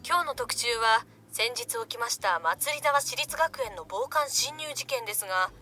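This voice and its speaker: A woman's voice: on the high side, lively intonation, close to an everyday voice. A woman playing a serious, formal television news presenter in a visual novel. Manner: formal, measured articulation.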